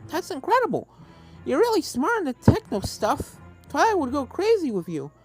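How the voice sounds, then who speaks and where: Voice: high-pitched, wildly swooping pitch, moderate pace, a little mellow, faint music behind, voice pushed up. A narrator voicing a brave, earnest and anxious young country filly in a read-aloud story. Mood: amazed.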